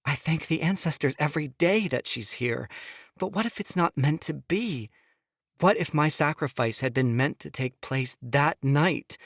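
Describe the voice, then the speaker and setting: A man's voice: fairly high pitch, animated, a little slow, no room sound, studio quality, pitched above the performer's own voice. A man voicing a tearful pleading young mother.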